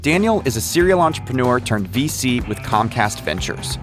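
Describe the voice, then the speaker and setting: Lively male podcast narrator. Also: mid-range voice, normal speed, over music. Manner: lively, conversational storytelling. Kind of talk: scripted narration.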